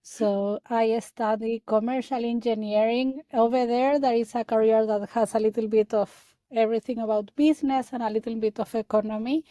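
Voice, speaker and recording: Woman from Chile, halting and soft. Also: on the high side, fairly even intonation, no room sound. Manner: halting, many fillers and restarts. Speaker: guest, data analyst. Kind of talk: casual interview talk.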